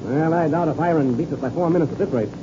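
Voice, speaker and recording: An actor playing a steady, decisive pilot mentor giving brisk, slangy instructions in a 1930s radio adventure serial. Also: male voice, on the high side, natural voice. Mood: brisk confidence. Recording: dull, noisy old radio recording.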